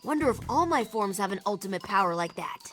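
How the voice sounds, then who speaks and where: A woman's voice: mid-range voice, lively intonation, fast talker, a bit bright, soft background music, close to an everyday voice. A woman voicing a cocky, playful young boy hero in a cartoon game, with flippant delivery. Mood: pleased. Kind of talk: animated cartoon game acting.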